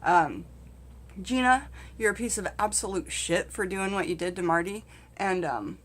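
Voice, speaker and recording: A middle-aged woman telling a casual comedic story to camera in an animated, candid, conversational way. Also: mid-range voice, moderate pace. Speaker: vlog host and storyteller. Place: small indoor space in front of a curtain.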